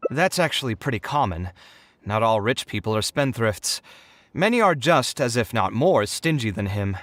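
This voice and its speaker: An actor playing a frank, articulate, slightly exasperated architect in video game dialogue. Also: male voice, mid-range voice, big pitch swings, moderate pace, fairly bright tone, no echo. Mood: skeptical. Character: adult male architect, frank, weary, slightly exasperated professional.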